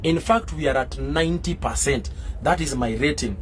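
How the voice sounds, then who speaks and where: A man from Kenya chatting casually on a live stream as a football fan, answering viewers with many fillers and getting excitable and loud. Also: mid-range voice, moderate pace.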